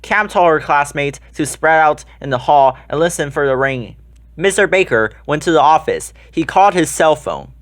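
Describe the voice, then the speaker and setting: A man narrates a children's storybook. His voice sits a bit higher than most men's, and he reads the scripted text aloud for young listeners. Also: expressive, moderate pace, fairly bright tone. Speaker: storybook reader.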